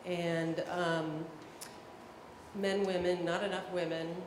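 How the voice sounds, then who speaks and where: A woman in the audience asking a question in a halting, hesitant way. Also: fairly low pitch, little pitch movement. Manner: halting, hesitant, many restarts. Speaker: audience member. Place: large hall at a public event. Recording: echoey hall, audible room noise.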